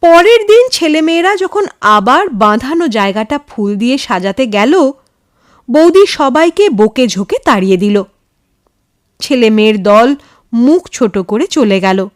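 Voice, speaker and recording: A woman narrating a ghost story in an expressive, suspenseful, dramatic manner. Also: on the high side, measured pace, dry recording, studio quality.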